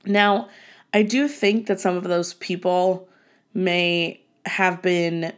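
A woman's voice: on the low side, fairly even intonation, takes it slowly, clean tone. A young woman hosting her own podcast, talking casually and bluntly with some swearing and many ums, sounding a little nasal from a cold. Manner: blunt and profane, with frequent ums and laughs. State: has a cold, slightly nasal. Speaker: podcast host. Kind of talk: casual solo podcast monologue.

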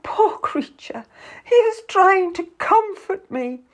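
A woman's voice: fairly high pitch, big pitch swings, unhurried, pitched above the performer's own voice. A woman voicing a melodramatic, tearful young noblewoman who implores and schemes in an audiobook story. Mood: distraught.

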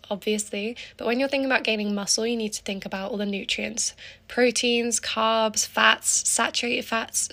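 A teenage girl with an English accent talks through her solo podcast in a chatty, rambling way. Her voice is hoarse and gravelly from a cold, sitting a little high. She drifts off on tangents as she goes. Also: pushed and bright. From England. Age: teens. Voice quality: raspy. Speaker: podcast host. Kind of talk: casual solo podcast chat.

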